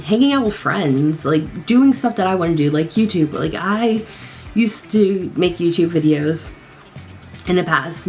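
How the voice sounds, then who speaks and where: A young woman doing her makeup on camera, rambling casually with lots of fillers, her voice a little nasal after a cold. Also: fairly low pitch. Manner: rambling, lots of likes and ums. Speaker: beauty vlogger. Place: home at night.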